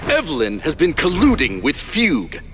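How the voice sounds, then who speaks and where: A hostile male gang enforcer barks orders in a high, wildly swinging voice. The delivery is dramatic game villainy over very loud music. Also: huge pitch swings, moderate pace. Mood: aggressive.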